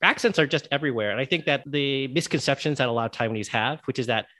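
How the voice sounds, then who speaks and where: A chatty American man hosts a relaxed interview, his voice bright and forward. The sound is close and dry with no room in it. He speaks a neutral American accent and laughs easily. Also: medium pitch, quick pace, no room sound. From Connecticut, USA. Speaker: host. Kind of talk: casual interview chat.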